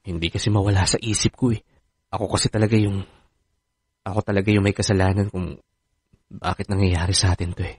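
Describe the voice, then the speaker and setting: An actor with a Filipino accent playing a defensive, easygoing husband in a radio drama. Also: male voice, low voice, little pitch movement, a little fast, pauses often, husky, no room sound. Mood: contrite, hesitant. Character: defensive, easygoing husband, former ship worker. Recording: dry studio with music underneath.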